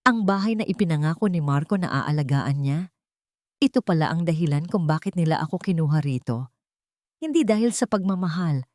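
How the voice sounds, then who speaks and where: A woman's voice: low voice, a little mellow, no room sound, clean studio sound. A woman reading a scripted first-person dramatic story as its narrator.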